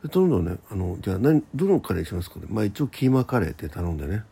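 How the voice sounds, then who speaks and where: A man's voice: on the low side, big pitch swings, racing, creaky, dark tone, husky. A man hosting a casual solo radio talk in a rambling, conversational manner. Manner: rambling, conversational, acts out quoted exchanges.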